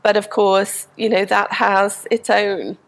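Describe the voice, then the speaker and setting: A woman's voice: mid-range voice, flat delivery, slow. A middle-aged woman from England with a Liverpool accent and a head cold, openly and reflectively telling her life story as an interview guest. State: has a cold, congested. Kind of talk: casual interview conversation.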